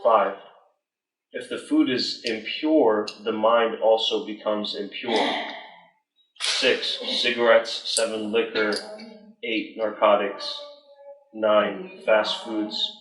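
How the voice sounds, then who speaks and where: A man's voice: on the low side, steady tone, slow, fairly bright tone, a little hiss or hum. A young man from northern Iran reading a passage aloud to a study group, then explaining it in a hesitant, casual way with many fillers. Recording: echoey room, mic far off.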